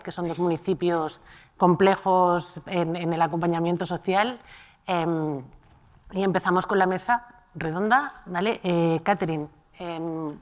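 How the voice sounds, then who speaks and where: A woman moderating a round-table panel at a conference, introducing guests and asking questions with filler pauses. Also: fairly low pitch. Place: conference hall.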